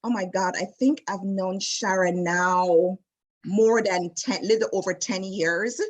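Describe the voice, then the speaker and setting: A woman in her late forties with a Jamaican accent, a wedding travel specialist chatting as an interview guest in a lively storytelling way, with many fillers and restarts. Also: medium pitch, unhurried. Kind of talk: casual interview chat.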